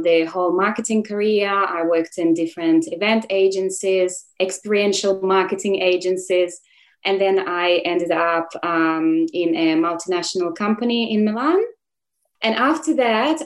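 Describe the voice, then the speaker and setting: A woman from Russia with a Russian accent, telling her own story as a guest in an interview. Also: medium pitch. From Moscow, Russia. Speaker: podcast guest, photographer. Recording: roomy, echoey sound.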